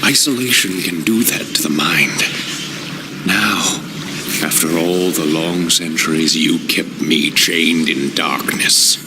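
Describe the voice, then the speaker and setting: An actor playing a vengeful demon-elf lord in a video game, gravelly, mocking and grandiose. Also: male voice, takes it slowly, exaggerated voice. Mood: gloating, vengeful. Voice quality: very deep, gravelly. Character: menacing, vengeful male demon-elf lord, sardonic and brooding. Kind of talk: dramatic video game voice acting. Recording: heavy ambient noise.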